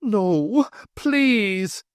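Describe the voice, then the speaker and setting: A man's voice: high voice, expressive, extremely slow, smooth, no echo, clean studio sound, voice pushed up, heavily acted. A man voicing a guilt-ridden, grieving country doctor, pleading with his dead wife. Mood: pleading anguished. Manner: pleading, desperate.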